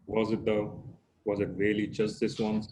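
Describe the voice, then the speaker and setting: Man acting low-voiced group leader, noisy recording. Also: monotone, moderate pace, close to an everyday voice. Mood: patient, probing. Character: calm, probing recovery group leader. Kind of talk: screenplay table read, naturalistic acting.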